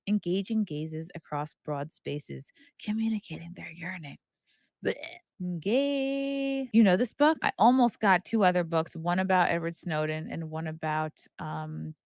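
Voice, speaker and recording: A woman's voice: fairly low pitch, creaky, a little mellow, no room sound. A woman hosting a podcast, chatting casually and reading aloud from a book with playful, irreverent, flippant asides. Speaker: podcast host.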